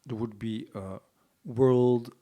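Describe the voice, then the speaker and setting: A man's voice: mid-range voice, fairly even intonation, takes it slowly, phone-quality sound. A man in a casual panel discussion who speaks hesitantly, with many fillers and restarts. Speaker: podcast panelist.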